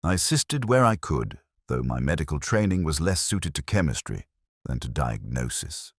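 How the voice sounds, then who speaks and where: Very deep male storyteller. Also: big pitch swings, husky, no room sound, studio quality. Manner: measured, literary storytelling. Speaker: narrator of a detective story. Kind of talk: scripted audiobook narration.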